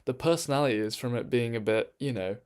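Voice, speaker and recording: A man's voice: mid-range voice, fast, a little mellow, clear, resonant tone. A man chatting casually on a live stream from his bedroom, rambling with lots of ums and restarts.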